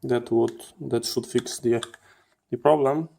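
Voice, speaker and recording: A man giving hands-on teardown commentary as a channel host, in halting phrases with repeated words. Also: mid-range voice, steady tone, vocal fry, noisy background. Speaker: host of a radio electronics channel.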